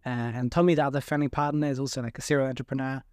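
A man's voice: mid-range voice, fairly even intonation, very fast, a little mellow, smooth, dry recording, studio quality. A man in his thirties from Sweden, answering interview questions casually with many fillers and restarts. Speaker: venture capital guest.

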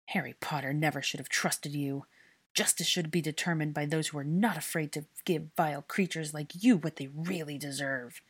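A woman voicing a large, surly, vengeful man in an audiobook, gruff, angry and snarling. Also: sits low, fairly even intonation, moderate pace, no echo.

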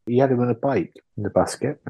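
A man's voice: mid-range voice, quick pace, husky, lo-fi sound. A man co-hosting a comedy podcast, answering quiz questions with dry, wry quips. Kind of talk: casual comedic chat.

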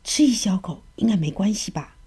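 A woman's voice: medium pitch, expressive, brisk, mellow, husky. A woman voicing a greedy, whiny little goldfish in a children's story. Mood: tempted. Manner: whiny, fearful.

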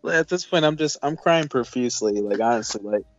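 A man co-hosting a casual film chat, rambling and halting as he repeats his phrases. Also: medium pitch, lively intonation, dry recording. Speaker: movie review co-host. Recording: dull lo-fi audio with faint music. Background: faint music.

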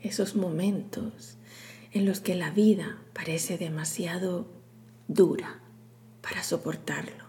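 A woman leading a guided meditation in a soothing, hushed, breathy delivery with long pauses. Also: lowish voice, steady tone, a little hiss or hum. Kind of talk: guided meditation, scripted narration.

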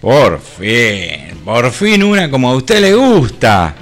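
An actor with an Argentine accent playing a deferential, excitable aide to a prince in a comic radio play. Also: male voice, mid-range voice, slow, faint music behind. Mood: excited, conspiratorial. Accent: Argentine, Rioplatense. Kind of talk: comic radio theatre parody.

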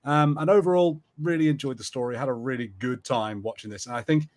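A man's voice: mid-range voice, quick pace, a little mellow. A man co-hosting a podcast, talking in an informal, jokey panel-chat way. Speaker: podcast co-host.

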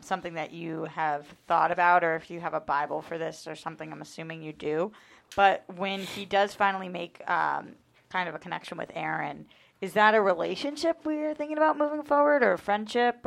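A woman with a slightly low, fry-heavy voice speaks as a panelist. She asks questions in a relaxed, conversational interview style. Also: brisk.

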